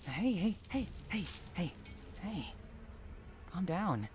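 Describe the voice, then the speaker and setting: A man's voice: high voice, very animated, slow pace, a little mellow, a little hiss or hum, heavily acted. A man playing an obsessive, unhinged kidnapper in a dramatic roleplay, cooing endearments mixed with threats straight at the listener. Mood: agitated, possessive. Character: obsessive, unhinged male stalker kidnapper, possessive and menacing lover.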